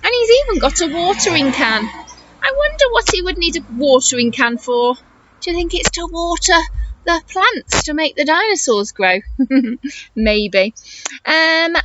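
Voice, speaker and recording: A woman teacher reading a story to young children, encouraging and expressive, asking questions and explaining as she goes. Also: very high voice, muffled recording. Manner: encouraging, expressive, exaggerated intonation for small children.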